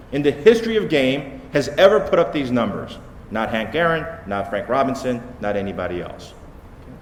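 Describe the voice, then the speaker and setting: A man giving a short conference talk, punchy and emphatic, checking in with the audience with "okay?". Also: mid-range voice. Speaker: conference speaker. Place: large conference hall. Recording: echoey hall with light noise.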